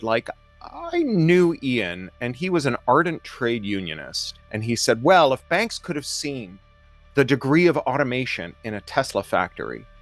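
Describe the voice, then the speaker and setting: A man's voice: lowish voice, fairly bright tone, no room sound. A man speaking as an interviewee, telling a personal anecdote in a conversational style. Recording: faint music behind voice. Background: faint music.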